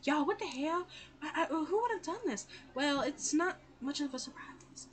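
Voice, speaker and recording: A woman's voice: high voice, lively intonation, moderate pace. A young woman improvising a comedic amateur cartoon dub, slangy and giggly, full of exclamations. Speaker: amateur fan dub performer.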